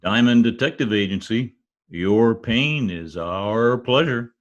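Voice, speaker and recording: A man's voice: mid-range voice, slow, muffled recording, lightly acted. A man playing a glib, wisecracking private detective in an old-time radio drama. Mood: flippant. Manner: glib, bantering.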